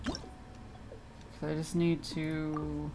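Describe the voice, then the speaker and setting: A woman's voice: low voice, completely flat delivery, drawn-out words, noisy background. A woman livestreaming a video game, chatting casually and reading game text aloud in a giggly, reactive manner.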